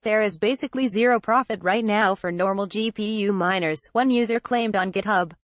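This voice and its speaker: A woman's voice: medium pitch, brisk, no echo. A woman reading a scripted news article aloud in a formal manner, as a narrator.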